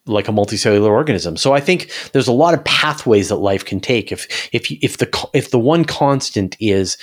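A man in a casual, speculative science discussion, thinking aloud and often rephrasing his points as he goes. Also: fairly low pitch. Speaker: science interviewer and podcast guest.

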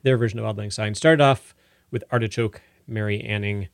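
A man's voice: lowish voice, fairly even intonation, fairly quick, gravelly, no echo. Casual male radio host, dry close sound. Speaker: radio music show host. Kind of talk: casual radio chat, announcing songs.

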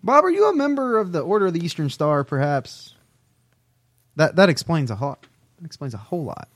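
A man's voice: fairly high pitch, sing-song, fairly quick, no echo. A man in his twenties co-hosting a podcast, chatting casually in a joking, playful manner. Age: 20s. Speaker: podcast co-host. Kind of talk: casual chat.

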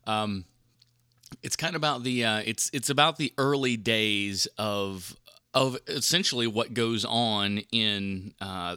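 A man's voice: fairly low pitch, sharp tone, dry recording, clean studio sound. A man hosting a film podcast solo in a casual, rambling, informal and mildly profane way. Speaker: podcast host reviewing films.

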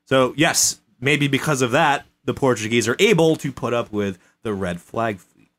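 A man's voice: mid-range voice, normal speed, fairly bright tone. A man hosting a casual history podcast, retelling events with lots of swearing while drinking. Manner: swearing, storytelling, slips into voices for quotes. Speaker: podcast host.

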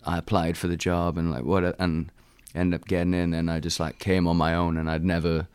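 A young man in his twenties with a Welsh accent, a musician talking in a casual interview, soft-spoken and halting, with frequent laughs. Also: deep voice, little pitch movement, clear, resonant tone, no echo. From Wales. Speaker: musician guest.

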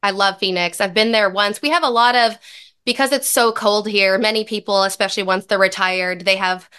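A woman in her thirties hosting a podcast in a casual, upbeat way, her voice a little raspy from recovering from a lost voice. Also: medium pitch, fairly even intonation, fairly quick, bright, forceful tone. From American Midwest. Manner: upbeat, chatty. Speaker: podcast host and dietitian.

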